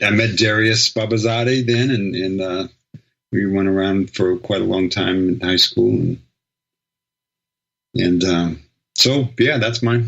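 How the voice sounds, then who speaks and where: A man's voice: lowish voice, creaky, a bit bright. A middle-aged man from Sacramento, a guest musician rambling through stories in a casual interview, correcting himself as he goes. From Sacramento, California. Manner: rambling, self-correcting storyteller, laughs easily. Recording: slightly dull, lo-fi sound.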